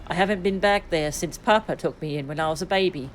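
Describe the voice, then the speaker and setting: A woman voicing an earnest, expressive young princess from a video game. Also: fairly low pitch, fast talker, faint music behind, close to an everyday voice, low-quality recording. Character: earnest, excitable young princess afraid of flying. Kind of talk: video game dialogue read aloud.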